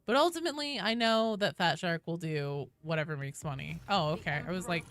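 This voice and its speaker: A woman's voice: on the low side, expressive, a little fast, fairly bright tone. A woman hosting a casual game live stream, chatting playfully with viewers and breaking into sudden exclamations, with teasing, jokey banter. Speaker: video game streamer and host.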